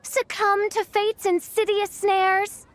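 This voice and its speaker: A woman's voice: very high-pitched, flat delivery, slow, background noise. A woman voicing a grandiose, theatrical teenage princess in a video game, pompous and melodramatic with archaic diction. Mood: theatrical lament.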